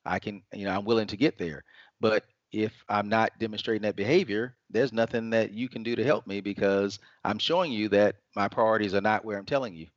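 A man with a low voice answers as a financial advisor and explains things in a steady, measured way. The sound is dry and close, with a slightly muffled, lo-fi quality. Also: no echo. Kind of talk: interview answers.

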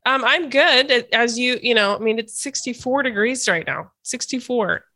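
A woman's voice: on the high side, normal speed, sharp tone. A woman hosting a casual interview podcast, chatty and hesitant with many fillers and restarts, a little stuffy from a cold. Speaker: podcast host.

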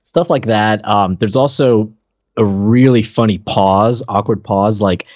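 A man's voice: fairly low pitch, a little slow, a little mellow, no room sound, dull audio. A man co-hosting a podcast, chatting casually and retelling favorite moments in a loose, conversational way, often repeating himself as he explains. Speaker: podcast co-host.

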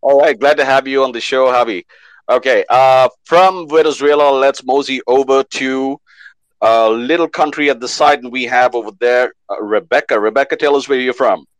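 A man hosting a live online panel show, lively and fond of repeating words for emphasis. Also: medium pitch, normal speed, dry recording, poor audio. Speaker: host.

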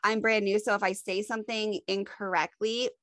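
A woman's voice: medium pitch, steady tone, normal speed, fairly bright tone, no echo, clean studio sound. A young adult woman co-hosting a podcast, sniffly with a cold, chatting in a rambling, self-deprecating way. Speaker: podcast co-host. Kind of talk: casual chat.

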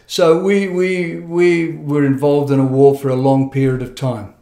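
A middle-aged man from Zimbabwe, telling his life story in a conversational interview, reflective and halting, with many fillers and restarts. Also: fairly high pitch, little pitch movement, warm tone, roomy sound. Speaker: guest.

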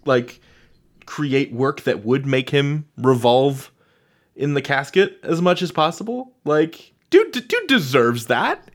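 A woman interviewer with a strikingly deep voice. She talks in a casual podcast style, and her intonation moves around a lot. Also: big pitch swings, slow pace.

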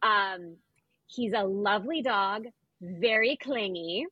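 A woman's voice: medium pitch, takes it slowly, slightly rough, dry recording, dull audio. A young American woman co-hosting a podcast in casual chat with a wry, self-deprecating manner. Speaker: podcast co-host.

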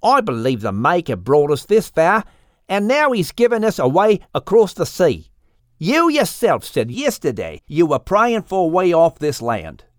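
A man's voice: fairly high pitch, wildly swooping pitch, unhurried, dry recording, studio quality, voice pushed up. A man voicing a big, upbeat male kangaroo with an Australian accent, talking in a hearty, slangy, enthusiastic way.

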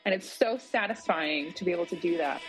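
A woman in her thirties from Idaho, a podcast guest talking thoughtfully about moving abroad, pausing and restarting as she gathers her thoughts. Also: on the low side, over loud music, poor audio. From Boise, Idaho area, USA. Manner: thoughtful, with frequent restarts and hedges. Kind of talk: reflective casual conversation.

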